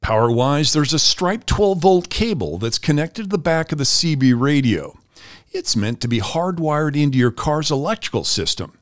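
A man's voice: on the high side, animated, normal speed, no room sound, studio quality. A man hosting a product review channel, reading a scripted review as a voice-over.

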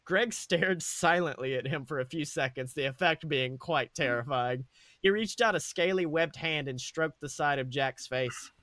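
A man's voice: a little high, moderate pace, pushed and bright, no echo. A man co-hosting a podcast, animatedly narrating comic fan fiction and chatting casually. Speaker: podcast co-host.

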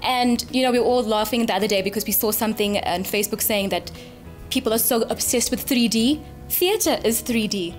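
A woman's voice: a little high, brisk, soft background music. A young woman giving an articulate, emphatic interview answer as an actress and drama teacher. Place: theatre stage.

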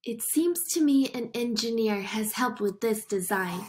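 A woman's voice: on the high side, expressive, unhurried, a little mellow, a little hiss or hum. A woman voicing a cocky, mischievous old male leprechaun in boastful, teasing rhymes from a picture book. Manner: boastful, teasing, singsong rhyming. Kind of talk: picture book read-aloud. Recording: music behind the voice.

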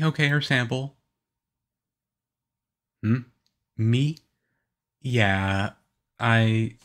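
A man playing a shy, nervous teenage-looking vampire boyfriend in an ASMR roleplay, halting and stammering awkwardly. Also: medium pitch, a bit bright. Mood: sheepish, apologetic. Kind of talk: ASMR audio roleplay, one-sided conversation.

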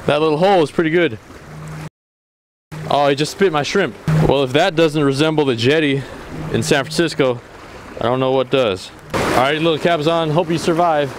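A young man with a fairly high voice talks through his fishing trip on a rocky sea jetty. He explains his gear in a relaxed way and bursts into loud exclamations when a fish hits. The action camera picks up clear wind and water noise around him. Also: moderate pace, pauses often. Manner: excitable, exclaims loudly when fish bite. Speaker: fishing vlogger. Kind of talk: casual solo vlog narration. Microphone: action camera, near and far.

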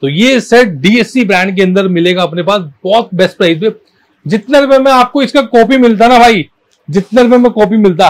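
A man giving an informal, emphatic and repetitive sales pitch as a shop seller showing products. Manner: emphatic, repetitive, addressing viewers as brother. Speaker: car accessory shop seller presenting products.

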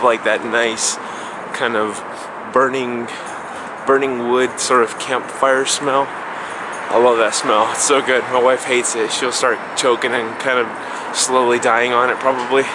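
A man's voice: mid-range voice, steady tone, measured pace, heavy background noise. A man in his thirties, from Chile, rambling casually as he vlogs with a handheld camera on a city street at night. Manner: rambling, musing aloud. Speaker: vlogger. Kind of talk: casual vlog talk. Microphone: handheld camera mic at arm's length.

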